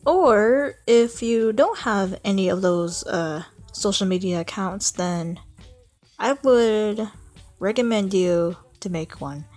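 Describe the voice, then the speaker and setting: A young woman vlogging in a casual, unscripted way. She talks slowly, stumbles and stutters, and repeats words as she hunts for what to say. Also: medium pitch, darkish tone, faint music behind. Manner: hesitant, stutters and repeats herself. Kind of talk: casual, unscripted vlog talk.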